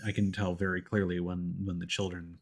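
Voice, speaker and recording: A man's voice: low-pitched, flat delivery, a little fast. A man doing casual live game commentary, thinking aloud into a streaming mic with game music behind him. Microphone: streaming microphone with headphones.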